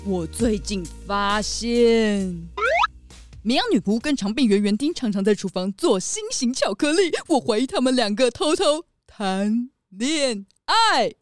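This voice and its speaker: A woman's voice: on the high side, animated, unhurried, no echo, faint music behind. A woman playing a gossipy, slightly flustered castle head chef in a children's audio drama. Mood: confiding. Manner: hesitant, then confiding.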